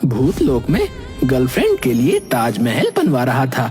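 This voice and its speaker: An actor voicing a playful, dramatic male talking animal in a Hindi cartoon, with exaggerated, drawn-out expression. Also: medium pitch, wildly swooping pitch, mellow, soft background music. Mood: startled, fussy. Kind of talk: Hindi cartoon character dialogue.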